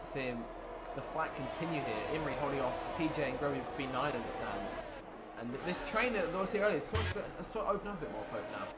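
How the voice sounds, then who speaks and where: Excited fast-talking male race commentator. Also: medium pitch, over loud music. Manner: excited play-by-play, rising with the action. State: losing his voice. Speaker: lead commentator. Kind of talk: sim racing commentary.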